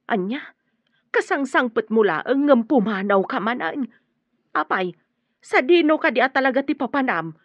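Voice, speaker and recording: A woman's voice: a little high, expressive, normal speed, dry recording. A woman playing a nervous, talkative, deferential household servant with an Ilocano accent in a radio drama. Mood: worried. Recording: dull, dry radio drama audio.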